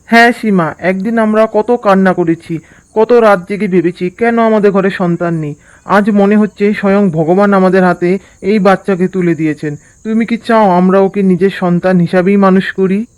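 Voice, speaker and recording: A man voicing a gentle, earnest village husband in a cartoon, with faint music behind. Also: high-pitched, fairly even intonation, normal speed, clean tone, close to an everyday voice. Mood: tender. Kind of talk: cartoon dub acting.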